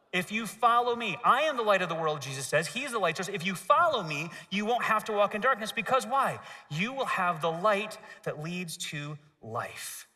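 A man in his thirties giving a children's sermon on a church stage, asking the audience to repeat after him. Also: high voice. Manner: lively, gestures and asks the audience to repeat. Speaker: pastor. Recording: hall reverb.

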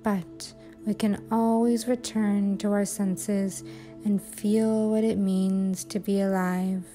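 Female meditation guide: very slow, even delivery, soothing, measured phrasing, music underneath. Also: mid-range voice. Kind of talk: guided sleep hypnosis, affirmations.

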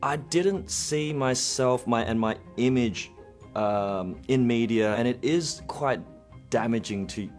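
Australian man, mid pitch, animated anecdotes, soft music under. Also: slow pace. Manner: animated storyteller, acts out quotes. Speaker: actor. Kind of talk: interview. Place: studio, colored backdrop. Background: faint music.

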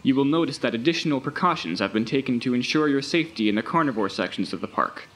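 A man playing a recorded tour guide in an audio drama, with measured, presentational narration. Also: medium pitch, expressive, fairly bright tone, close to an everyday voice. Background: music.

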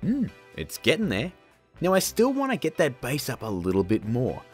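A man in his thirties hosting a casual software tutorial in an informal, jokey and emphatic way. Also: mid-range voice, huge pitch swings, normal speed, slightly rough, faint music behind. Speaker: video tutorial host.

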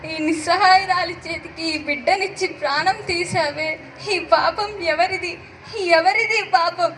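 A woman's voice: extremely high pitch, steady tone, normal speed, bright, forceful tone, slight background noise. A woman voicing a destitute, pleading woman in an audio drama, crying and begging as she talks. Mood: despairing, wailing. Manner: tearful, pleading, wailing. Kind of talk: melodramatic audio drama acting. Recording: background music under voice.

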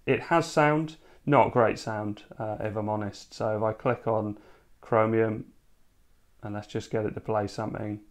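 A man's voice: lowish voice, fairly even intonation, a little slow. A man casually narrating a hands-on tech demo at home, thinking aloud with plenty of fillers. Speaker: tech video host. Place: home room, at a dining table.

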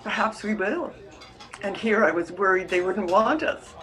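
A woman's voice: medium pitch, animated, moderate pace, a bit bright, lightly acted, poor audio. A woman playing an adoring, refined sweetheart in a period radio melodrama, with a genteel, effusive manner. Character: adoring, refined woman in love, romantic and carefree.

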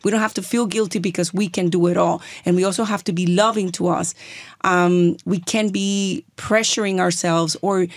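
A woman's voice: medium pitch, no room sound. Earnest Dominican woman, dry close mic. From Dominican Republic. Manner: earnest, encouraging, repeats phrases for emphasis. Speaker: TV co-host, podcast guest. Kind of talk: podcast interview, mixing Spanish and English.

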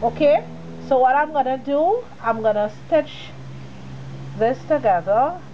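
A woman's voice: high-pitched, expressive, measured pace, lo-fi sound, slight background noise. Chatty woman with a cold teaching sewing. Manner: chatty, talks straight to the viewer. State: has a cold, sniffling. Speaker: sewing tutorial host. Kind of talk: casual step-by-step sewing tutorial.

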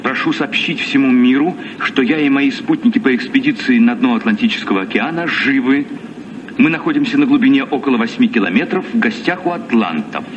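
An actor playing a gallant, earnest sea captain in a radio play, speaking in measured declarations. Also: male voice, medium pitch, lively intonation, close to an everyday voice. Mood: reading solemnly. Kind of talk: Soviet radio play acting. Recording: muffled, distant, noisy old radio recording.

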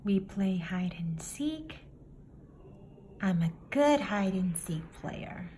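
Very slow-paced woman reading to children. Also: mid-range voice, animated, warm tone. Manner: deliberate, simple phrasing with pauses between phrases. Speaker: children's story reader. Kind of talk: picture book read-aloud for young children. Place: indoor room, book filmed on a table. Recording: faint room noise behind the voice.

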